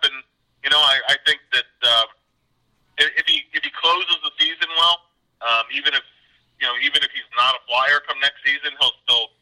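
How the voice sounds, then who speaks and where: A man giving conversational sports analysis as an interview guest, halting and repeating words as he goes. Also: mid-range voice, sharp tone, poor audio. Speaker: hockey writer, interview guest.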